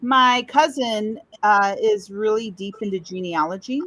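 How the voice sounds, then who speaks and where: A woman's voice: mid-range voice, a little slow, fairly bright tone, dry recording. Woman from Chicago with a dry, close sound. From Chicago, USA. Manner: rambling, trailing off mid-sentence and circling back. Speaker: podcast guest. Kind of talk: casual storytelling conversation.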